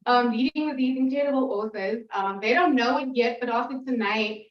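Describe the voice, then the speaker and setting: A woman's voice: on the high side, a little fast. A woman from South Africa, a poet, chatting with an audience before her reading in an informal, giggly, emotional way. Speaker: poet reading at a literary event. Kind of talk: warm, chatty stage talk to an audience. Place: reading event room with audience. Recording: echoey room, far from mic, dull sound.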